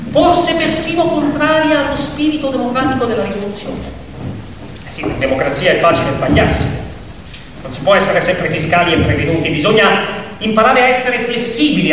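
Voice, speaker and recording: A man's voice: sits high, very animated, normal speed, background noise. A man delivering theatrical scripted narration in an ironic, insinuating manner, as an actor telling a story. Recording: echoey reverberant hall, distant, music behind.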